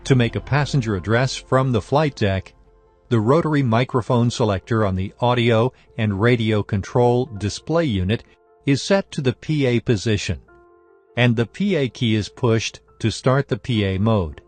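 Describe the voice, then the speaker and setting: A man reading a scripted technical training lesson in a formal, measured, instructional manner. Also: mid-range voice, a little mellow, faint music behind. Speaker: instructor narrator.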